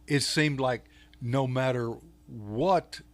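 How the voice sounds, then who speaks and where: An older man from Texas with a Texan accent, a guest on an interview show, talking in a halting way with many fillers and restarts. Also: medium pitch. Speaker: podcast guest, author. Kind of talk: casual interview talk.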